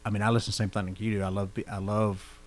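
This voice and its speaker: A man's voice: fairly low pitch, little pitch movement, very fast, a little hiss or hum. A man with a growly voice, hosting a casual interview and chatting in a rambling way. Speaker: podcast host.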